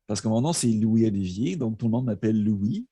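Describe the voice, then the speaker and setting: A relaxed man with a Quebec French accent rambles through a story as a guest on a livestream. His voice is somewhat low, with a mellow, husky grain and a dry close sound. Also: fairly quick, dry recording. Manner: laid-back rambling storyteller. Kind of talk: casual livestream chat.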